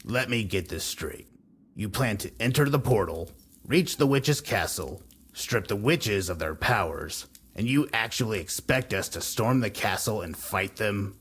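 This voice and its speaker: Husky, sardonic male resistance fighter. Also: fairly low pitch, animated, a little slow, a bit bright, full character voice. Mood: incredulous. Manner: sardonic, distrustful. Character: skeptical, sarcastic resistance man, guide. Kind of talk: video game cutscene acting.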